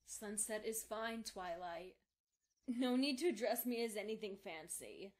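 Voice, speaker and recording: A woman's voice: medium pitch, expressive, measured pace, natural voice. A woman playing a kind, patient young unicorn mentor in a fan fiction audio drama, reassuring and instructive. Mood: gentle, reassuring.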